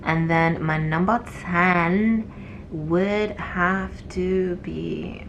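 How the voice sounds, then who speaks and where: Low-voiced young woman rambling on about nurses she follows, um-ing a lot, voice going a bit hoarse, faint hiss behind. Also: extremely slow, dull audio. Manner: chatty and rambling, many fillers. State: losing her voice. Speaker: nursing student vlogger. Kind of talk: casual chat to camera.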